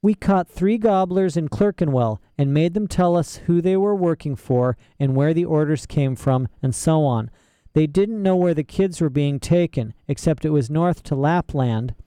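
A man's voice: on the high side, normal speed, dark tone, clean tone, dry recording, voice pushed up, close to an everyday voice. A man voicing a small wounded ferret spirit. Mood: strained urgent. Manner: urgent, strained, rustic grammar.

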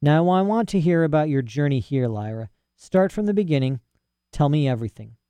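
A man reading a story aloud and voicing a stern, detached nobleman who speaks quietly and evenly. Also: fairly high pitch, animated, a little fast, clean tone, dry recording, close to an everyday voice. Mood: calm cold. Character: cold, calm, stern aristocratic father.

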